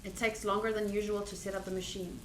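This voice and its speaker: A woman streaming a visual novel playthrough, reading the text aloud and chatting with viewers in an expressive way. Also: medium pitch, fast talker, roomy sound, slight background noise. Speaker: game streamer.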